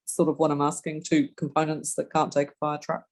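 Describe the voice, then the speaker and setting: A woman's voice: sits low, monotone, fairly quick, darkish tone. A woman from New Zealand with a slight New Zealand accent, a resident on a video call pressing officials with pointed questions at a community meeting. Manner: direct, pressing follow-up questions.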